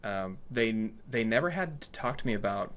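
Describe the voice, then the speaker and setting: A man chatting casually as an interviewer in a relaxed-format conversation. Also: fairly low pitch, moderate pace. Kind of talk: casual chat.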